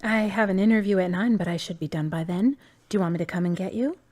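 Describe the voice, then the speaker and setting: A woman's voice: medium pitch, fast, darkish tone, natural voice. An actress playing a young woman who teases her boyfriend in a playful way. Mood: teasing. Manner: playful, teasing. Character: teasing young woman, the hero's girlfriend.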